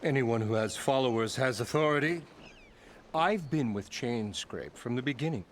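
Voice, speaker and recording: An actor playing a pompous, blustering middle-aged labor boss who talks in grand, flattering, evasive turns in a video game. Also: male voice, mid-range voice, normal speed, slight background noise, natural voice.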